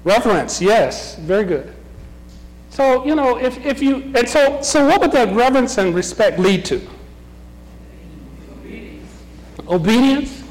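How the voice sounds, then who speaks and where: A middle-aged man leading a group Bible discussion, asking questions, restarting his sentences and often chuckling. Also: high voice. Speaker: Bible study leader. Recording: reverberant meeting room.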